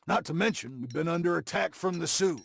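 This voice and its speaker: An actor playing a sly, boastful old western sheriff in a video game, gravelly, folksy and mocking. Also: male voice, a little high, a little fast, a bit bright, full character voice. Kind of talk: scripted game cutscene acting.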